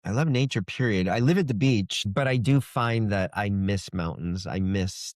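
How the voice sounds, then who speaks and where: A man's voice: on the low side, moderate pace, no echo, clean studio sound. A man speaking casually as an author being interviewed on a podcast. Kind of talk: casual chat.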